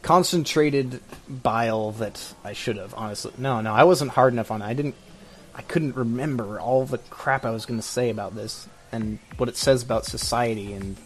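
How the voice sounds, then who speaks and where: A man's voice: mid-range voice, normal speed, faint music behind. A man co-hosting a podcast in casual, opinionated chat, speaking in a rambling, hesitant way full of filler "like"s. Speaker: podcast co-host.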